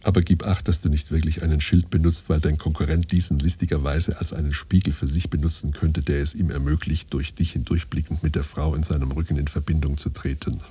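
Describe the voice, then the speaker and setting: Very deep, solemn, husky father. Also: level tone, fairly quick, hardly any pauses, warm tone, exaggerated voice. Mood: solemn, admonishing. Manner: pompous, archaic, instructing in imperatives. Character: solemn father lecturing his son on courtship. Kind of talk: scripted radio play monologue.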